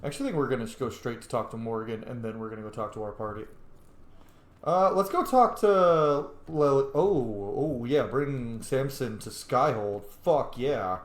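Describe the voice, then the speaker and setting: A man's voice: medium pitch, moderate pace. A man giving casual, chatty gameplay commentary as a streamer, opinionated and free with swearing. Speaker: gaming streamer. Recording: echoey room, faint background noise.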